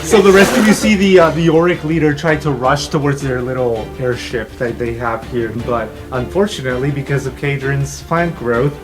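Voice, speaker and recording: Tabletop game master, mid pitch, casual and sweary narration, clear music bed underneath. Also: moderate pace, hardly any pauses. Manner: casual, profane.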